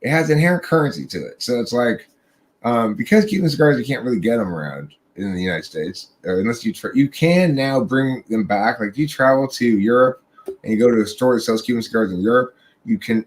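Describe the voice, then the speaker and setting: A man's voice: medium pitch, fairly quick, poor audio. A young man hosting a livestream chat, telling a rambling, profane story and laughing along the way. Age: young adult. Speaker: podcast host.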